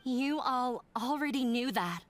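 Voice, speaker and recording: Blunt, serious, higher-voiced young pessimist. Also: female voice, animated, soft background music. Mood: blunt, cautioning. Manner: measured, frank, serious. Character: blunt, pessimistic, well-educated young woman. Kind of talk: video game voice acting.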